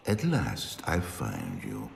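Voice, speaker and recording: An actor playing a menacing, patient hooded stranger who interrogates with mocking, theatrical deliberation in a game cinematic. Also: male voice, rumbling low, wildly swooping pitch, slow pace, creaky, heavily acted. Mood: coolly menacing. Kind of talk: dramatic game cinematic dialogue. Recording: echoey hall reverb, noisy ambience.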